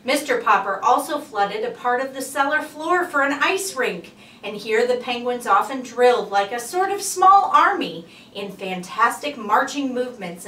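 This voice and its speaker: A woman reading a children's storybook aloud like a librarian, with deliberate phrasing and emphasized words. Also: on the high side, bright, forceful tone. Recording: roomy echo, distant mic, faint noise.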